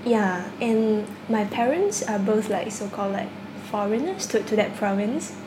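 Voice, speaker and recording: A woman's voice: medium pitch, animated, measured pace. A young woman from China, a university student, answering interview questions casually, with many restarts and small laughs. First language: Cantonese. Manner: hesitant, repeats words, laughs often. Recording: echoey room with background noise.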